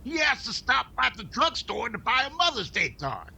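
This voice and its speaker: A man playing a wry valet with a gravelly voice in an old-time radio sitcom. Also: wildly swooping pitch, normal speed, bright, forceful tone, heavily acted. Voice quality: gravelly, high. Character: wry, gravelly male valet to a comedy star. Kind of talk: classic radio sitcom acting. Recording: noisy recording with low rumble.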